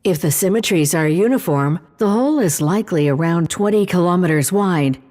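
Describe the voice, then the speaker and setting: A mellow female artificial intelligence acted by a woman speaks inside a long, hall-like reverb. She is calm and precise, laying out analysis in measured, formal sentences, as in a sci-fi audio drama. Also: lowish voice, lightly acted. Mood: measured, analytical.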